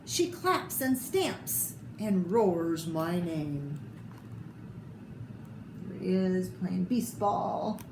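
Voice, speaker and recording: An American woman reads a children's picture book at a slow, expressive pace, pausing to point out pictures. Her voice sits a little low. The room is echoey, with clear noise behind her. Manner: expressive, unhurried, pointing out pictures to young listeners. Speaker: storyteller reading picture books to children. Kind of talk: read-aloud picture book narration with asides to listeners.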